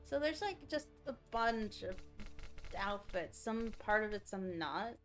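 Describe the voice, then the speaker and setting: Unhurried woman streamer with lively, swooping pitch. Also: soft background music. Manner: chatty, thinking aloud, reacting to what is on screen. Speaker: game streamer. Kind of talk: casual livestream game commentary, chatty.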